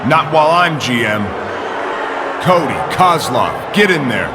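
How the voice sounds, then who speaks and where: A man's voice: fairly high pitch, sing-song, a little slow, heavy background noise. A man playing a smug, vindictive wrestling general manager, taunting and domineering in a scripted game cutscene. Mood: angry.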